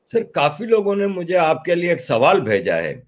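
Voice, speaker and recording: A middle-aged man interviews a guest over a video call. His voice sits a little high and moves up and down with animation as he builds deliberate, leading political questions. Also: huge pitch swings. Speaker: interviewer, host. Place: indoor room at home. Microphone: video call device.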